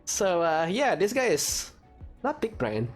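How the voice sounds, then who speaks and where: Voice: mid-range voice, big pitch swings, measured pace. A gamer giving casual, joking commentary during a playthrough. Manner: chuckles often, joking. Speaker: gamer, let's play host. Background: faint game music.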